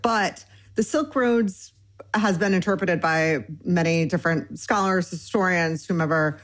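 A woman in her forties from Michigan speaks as a guest in a conversational interview. Her voice sits a little low, and she strings her thoughts together eagerly in long, winding lists, filling the gaps with "um" and "you know.". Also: measured pace. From Michigan, United States. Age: 40s. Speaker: cookbook author guest.

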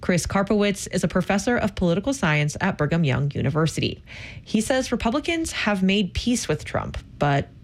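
A woman reads a scripted report as a radio news reporter. Her voice is of medium pitch. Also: animated, moderate pace.

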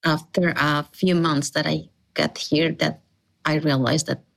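A woman from Mexico with a Mexican Spanish accent, an adult English learner speaking earnestly in halting English. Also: deep voice, steady tone, creaky, fairly bright tone.

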